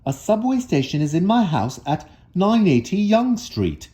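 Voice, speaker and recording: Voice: mid-range voice, very animated, unhurried, mellow, pitched above the performer's own voice. A storybook reader voicing a polite, plucky young boy in an earnest, emphatic way.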